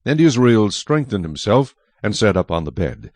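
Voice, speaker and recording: A man narrates scripture in a lowish voice with very animated intonation. His delivery is measured and dramatic, like scripted storytelling, and the recording is very dry. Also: dry recording.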